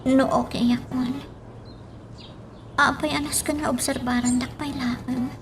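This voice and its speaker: Woman acting an ailing, high-pitched Ilocano young woman. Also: level tone, a little slow. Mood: anxious. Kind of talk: radio drama acting. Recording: clear birdsong and background noise.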